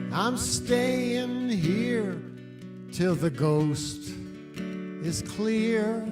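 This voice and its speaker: A man singing a slow folk-style song as a service musician, with guitar playing along. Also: on the high side. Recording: live music, plucked guitar. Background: acoustic guitar.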